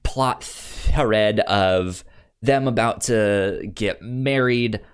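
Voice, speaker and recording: A man co-hosting a film podcast in casual chat, with a halting delivery that often repeats and restarts words. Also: on the low side, clean tone. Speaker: podcast co-host. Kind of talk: casual chat about films.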